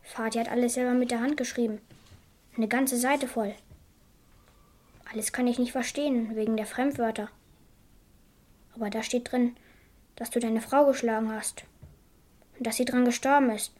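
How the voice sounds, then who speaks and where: Woman acting stubborn little girl in a radio krimi, high voice, flat matter-of-fact demands. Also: normal speed, long pauses, close to an everyday voice. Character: cool, stubborn young girl demanding money. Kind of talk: German radio crime drama acting.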